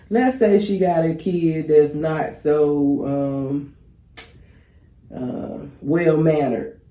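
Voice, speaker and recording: A woman's voice: sits low, warm tone, echoey room. Congested woman co-hosting a podcast. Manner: chatty, talks to her listeners directly. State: congested, with a cough. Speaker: podcast co-host. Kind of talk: casual relationship talk.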